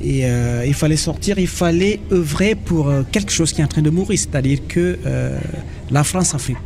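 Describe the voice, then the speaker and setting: Cameroonian man guesting on live radio, earnest and halting, slightly high voice, faint noise behind. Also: creaky. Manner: hesitant, earnest, many pauses. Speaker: association representative. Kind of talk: radio interview. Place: outdoor association fair.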